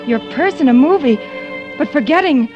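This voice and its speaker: A woman's voice: high-pitched, animated, moderate pace, highs cut off. An actress playing an anxious, forgetful young working woman, fretting and questioning herself in an old radio thriller. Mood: stunned. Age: young adult. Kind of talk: 1940s-50s radio thriller acting, inner monologue and dialogue. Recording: old radio drama, music underneath.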